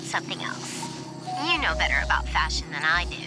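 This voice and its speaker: A woman's voice: sits low, sing-song, a little fast, rough recording. A woman voicing a teasing, laid-back young woman in a video game, wry and offhand. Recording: loud music behind.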